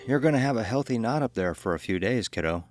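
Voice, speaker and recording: A man voicing a gentle, reassuring father with a soft, tender manner in an audio horror story. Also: mid-range voice, animated, quick pace, faint music behind, voice pushed up, lightly acted. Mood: playful, reassuring. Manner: tender, soothing. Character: gentle, worried, reassuring father of two young girls.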